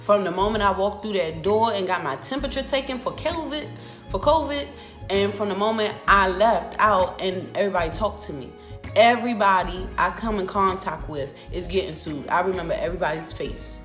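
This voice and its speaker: A woman's voice: mid-range voice, brisk, fairly bright tone. Woman in her twenties, American accent, casual vlog storytelling, sassy, emphatic, echoey room, music in the background. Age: 20s. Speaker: vlogger. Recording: roomy echo with music behind.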